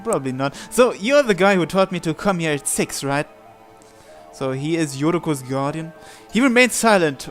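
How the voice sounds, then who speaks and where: A man hosting a game playthrough, reading the story aloud expressively and adding casual commentary. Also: on the high side. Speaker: gamer hosting a visual novel playthrough.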